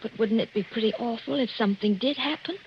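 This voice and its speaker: High-voiced young bride played by a woman, old radio. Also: fast talker, close to an everyday voice. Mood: anxious. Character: happy yet uneasy young American newlywed bride. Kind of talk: 1940s radio drama acting. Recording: muffled, noisy 1940s radio recording.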